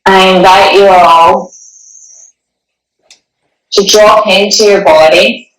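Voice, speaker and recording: Slow, gentle woman guiding meditation, echoey. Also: mid-range voice, fairly even intonation. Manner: gentle, soothing, unhurried with long pauses. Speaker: meditation guide. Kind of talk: guided meditation, partly reading a passage. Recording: echoey room, distant microphone.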